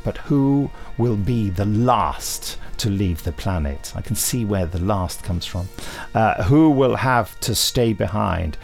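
A man's voice: on the low side, animated, slow pace, creaky, hardly any pauses, soft background music. A man hosting a podcast, chatting casually in a rambling, wry way with many digressions. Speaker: podcast host. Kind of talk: casual podcast chat with readings.

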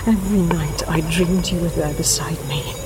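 A woman's voice: lowish voice, steady tone, moderate pace, lightly acted. An actress playing an adventurous, troubled woman professor in an audio drama. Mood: delirious, haunted. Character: dry, adventurous woman professor, haunted and feverish. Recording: heavy noise behind drama dialogue.

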